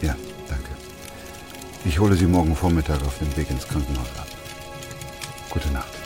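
An actor playing a composed, middle-aged doctor who narrates a plague chronicle in a radio drama, calm and sober. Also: male voice, a bass voice, normal speed, over music. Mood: subdued.

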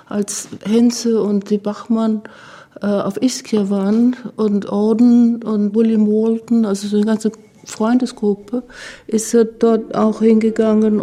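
A woman's voice: medium pitch, dark tone. A woman interviewee recollecting informally, in unscripted speech.

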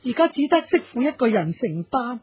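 A woman's voice: a little high, very animated, fairly quick, a little mellow, breathy edge, no room sound, exaggerated voice. An actress playing an elderly, worried mother-in-law in a Cantonese radio drama, nagging and sighing plaintively. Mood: reproachful.

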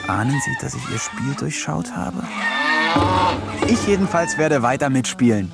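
A man's voice: mid-range voice, big pitch swings, measured pace, vocal fry, over loud music. A man playing a lonely, sardonic village eccentric who reads his diary in a dry radio-play narration with mocking asides. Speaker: narrator and lead.

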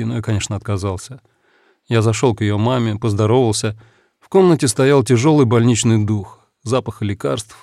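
A man narrating a first-person story in a calm, reflective, measured way. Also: mid-range voice, gravelly, dry recording, clean studio sound. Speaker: audiobook narrator.

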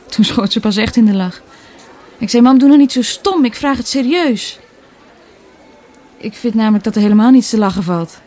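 Young, downcast daughter for radio drama, played by a woman: soft-edged, mellow, guarded, natural delivery. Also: fairly high pitch, normal speed, pauses often, natural voice. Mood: resigned. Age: young adult. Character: melancholy, guarded young daughter, doubting her mother. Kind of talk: Dutch radio play dialogue, naturalistic.

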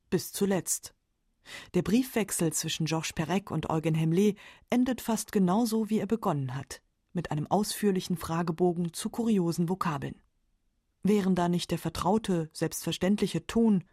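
A woman's voice: on the low side, a little mellow, slightly rough, no echo, studio quality. A woman narrating a scripted radio feature as its narrator.

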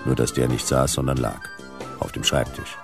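A man playing a gruff, hard-boiled detective in a sci-fi radio drama, terse and laconic with a gravelly voice. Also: extremely low pitch, flat delivery, normal speed, music in the background. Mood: wry, laconic. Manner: terse, laconic noir delivery. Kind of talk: German sci-fi radio drama acting and narration.

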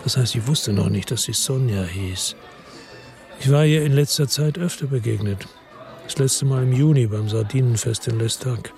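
An actor playing a world-weary police chief, narrating in a slow, measured, tired way in a radio drama. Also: male voice, medium pitch, gravelly, a little mellow, faint music behind, close to an everyday voice. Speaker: first-person narrator.

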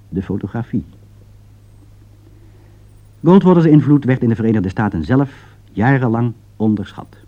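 A man delivers a scripted political commentary in a formal, rhetorical way. His mid-pitched voice moves widely up and down for emphasis. The recording is dull and lo-fi, like old radio. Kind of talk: scripted broadcast commentary read aloud.

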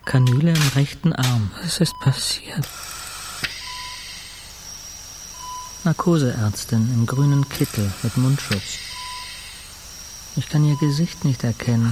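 A man performs an anguished hospital patient in a radio drama, at a middle pitch. He speaks slowly and introspectively, in broken thoughts. Clear background noise surrounds the voice. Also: natural voice. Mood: dazed, fearful. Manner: slow, fragmented, introspective, sometimes whispering. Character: anguished male hospital patient recounting his ordeal. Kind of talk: radio play monologue, scripted first-person narration.

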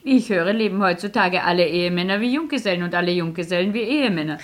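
A woman's voice: on the low side, quick pace, lightly acted. An actress playing a motherly aristocratic hostess in a 1960s radio play, genteel and soothing in manner. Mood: mildly amused.

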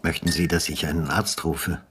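A man playing a grave, experienced police detective in a radio drama, speaking in a measured, careful, sombre way. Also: very deep voice, level tone, background noise. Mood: grave, quiet. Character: grave, experienced police detective who delivers death notices. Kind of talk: literary radio drama narration and dialogue.

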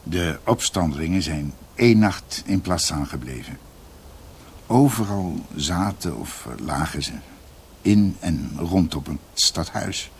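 Slow, deep-voiced storyteller. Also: male voice, expressive, a little hiss or hum, heavily acted. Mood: reflective, vivid. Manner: hesitant, savouring dramatic pauses. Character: reflective male doctor recounting family history. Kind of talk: radio drama storytelling.

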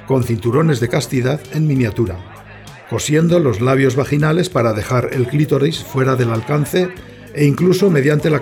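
A man performing a sober narrator in audio theatre, reciting scripted facts in a measured, expository manner. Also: mid-range voice, gravelly, a little mellow, lightly acted.

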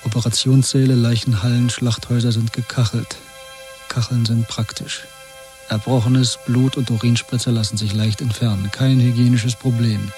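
A man performs a weary political prisoner in a radio play. The voice is dark and mid-pitched, with clipped, detached phrases. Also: little pitch movement, moderate pace, faint music behind, close to an everyday voice. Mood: detached, tense. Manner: terse, detached, in clipped fragments. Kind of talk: radio play acting, inner monologue and dialogue.

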